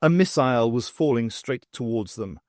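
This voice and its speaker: Animated male audiobook narrator, dry sound. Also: mid-range voice, moderate pace, dry recording. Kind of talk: scripted fiction narration.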